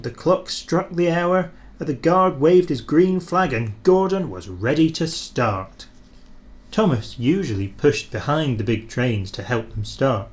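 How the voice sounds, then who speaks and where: A man reading a children's train story aloud in an expressive, animated storyteller's manner. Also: medium pitch.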